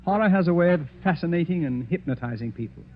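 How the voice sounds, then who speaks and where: An actor playing a proud, egotistic detective who speaks in a boastful, commanding way, in 1940s radio acting style. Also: male voice, on the high side, lively intonation, quick pace, a little hiss or hum, close to an everyday voice. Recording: tinny phone-like old radio recording with music.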